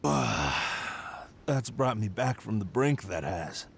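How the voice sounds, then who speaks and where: A man voicing a weary, bewildered villager in a video game, speaking in a halting, dazed manner. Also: lowish voice, lively intonation, vocal fry, slight background noise, exaggerated voice. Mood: dazed, puzzled. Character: weak, bewildered village man just woken from ordeal. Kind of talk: video game character acting. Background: game music.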